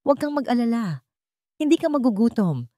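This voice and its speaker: A woman's voice: a little high, very animated, brisk, warm tone, no room sound, clean studio sound, voice pushed up. A woman voicing a stern, righteous male chairman with a controlled, commanding manner in a drama story. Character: stern, righteous male company chairman, wealthy, grateful son. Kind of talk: dramatic audio storybook acting.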